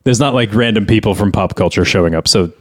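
A man's voice: on the low side, fast. A man co-hosting a podcast in casual banter, critiquing a script in an explanatory way, often restarting mid-thought. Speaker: podcast co-host.

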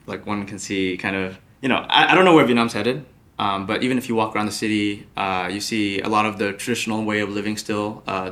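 A man's voice: fairly low pitch, vocal fry, fairly bright tone. A young man from the United States, a podcast guest, answering thoughtfully with many fillers and restarts. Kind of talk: podcast interview.

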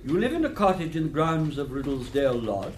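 A man acting as a brisk, officious coroner in a radio mystery, questioning witnesses formally and deliberately. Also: on the high side, sing-song, echoey room, a little hiss or hum. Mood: probing, formal. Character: brisk, officious male coroner running an inquest. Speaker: actor in a radio drama.

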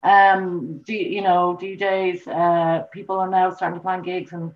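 A woman's voice: on the low side, little pitch movement, a little slow, highs cut off. An Irish woman, a musician guest, chatting casually in an interview over a remote call, rambling with many repeats and fillers. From Ireland.

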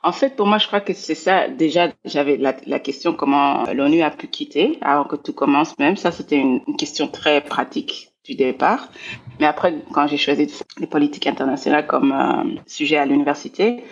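A woman's voice: low-pitched, a little fast, husky, lo-fi sound. A woman in her forties from Belgium, a guest scholar talking reflectively in an interview, often repeating words as she searches for the right phrase. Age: 40s.

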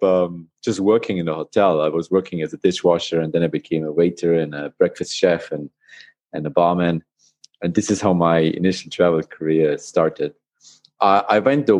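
Male guest, forties, deep voice, hesitant storyteller. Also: darkish tone. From Austria. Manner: hesitant, with fillers and restarts. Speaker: podcast guest. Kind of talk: casual interview chat.

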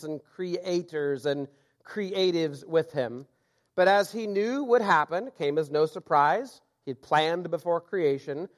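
A man preaching a sermon, reading scripture aloud and explaining it with self-deprecating asides. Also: sits high.

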